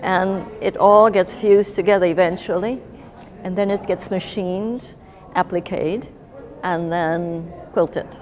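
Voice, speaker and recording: An older woman giving casual interview answers in a reflective, gently humorous way. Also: on the low side, steady tone, slow pace. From Japan. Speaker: guest, artist and writer.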